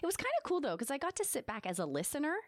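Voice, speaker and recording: A woman's voice: sits high, sing-song, racing, dry recording. A woman co-hosting a podcast in casual banter, with a playful, teasing and encouraging way of talking. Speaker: podcast co-host.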